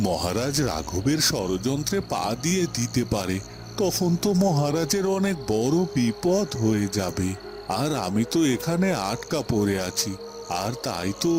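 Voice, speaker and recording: A man acting a worried, loyal captive in a cartoon, brooding aloud in an agitated, self-questioning monologue. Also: mid-range voice. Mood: anxious, brooding. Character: worried loyal male captive of a scheming villain. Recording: music behind the voice.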